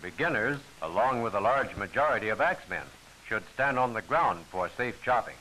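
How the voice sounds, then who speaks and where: A man's voice: lowish voice, animated, brisk, bright, forceful tone, a little hiss or hum, rough recording. A man giving formal, instructional scripted narration for a training film, on an old film soundtrack.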